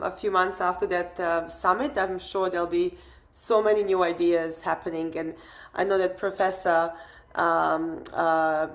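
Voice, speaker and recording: A woman hosting an interview podcast, asking questions in a friendly, encouraging way. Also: on the low side. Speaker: podcast host. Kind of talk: casual interview talk.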